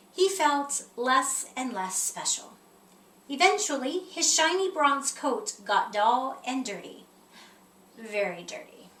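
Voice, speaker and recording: A woman reading a children's picture book aloud in an expressive storytelling style. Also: high voice. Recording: roomy echo, distant mic, faint noise.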